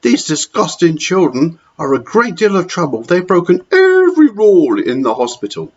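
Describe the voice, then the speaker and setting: Guy doing a mean old matron, sneery and scornful, kinda higher voice, dull recording. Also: wildly swooping pitch, moderate pace, exaggerated voice. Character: cruel, sneering female hospital matron.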